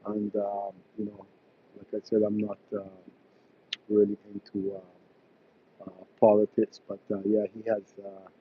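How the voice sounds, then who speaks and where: A man's voice: on the low side, monotone, a little slow, long pauses, phone-quality sound, a little hiss or hum. A man from Barbados, an electrician hosting a live stream, chatting casually with viewers and full of fillers and restarts. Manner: hesitant, many fillers and restarts.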